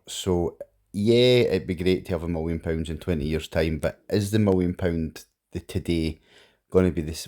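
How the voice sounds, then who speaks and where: A man's voice: sits low, darkish tone. A Scottish man hosting a crypto channel, explaining savings and investments in a rambling, conversational way. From Scotland. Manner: rambling, conversational, with restarts and fillers. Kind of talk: casual explainer monologue.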